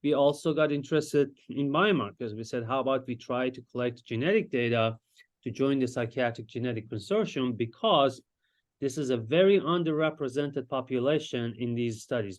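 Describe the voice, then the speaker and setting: A man from Iran, a Farsi speaker, presenting his research as a psychiatrist in a lecture and pausing often to search for words. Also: medium pitch. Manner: explanatory, searches for words with frequent hesitations.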